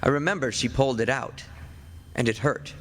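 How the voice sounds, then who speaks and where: A man's voice: medium pitch, moderate pace, natural voice. An actor playing a weary, ageless man married to a witch in a radio play, wry and reflective, at times sheepish and evasive. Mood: wry, reminiscing. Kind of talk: radio play acting with first-person narration. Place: on stage. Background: music.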